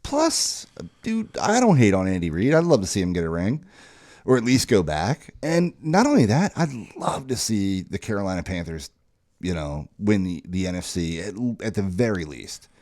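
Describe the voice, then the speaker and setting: A man's voice: low voice, normal speed. A man in his forties co-hosting a podcast, chatting and teasing about football picks. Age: 40s. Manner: animated, teasing. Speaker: podcast co-host. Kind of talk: casual sports chat.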